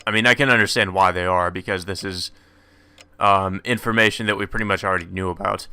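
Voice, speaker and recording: Man reading aloud, bright forceful voice. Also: sits low, steady tone, fast, smooth, no echo. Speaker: let's player. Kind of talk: reading visual novel dialogue aloud with casual commentary.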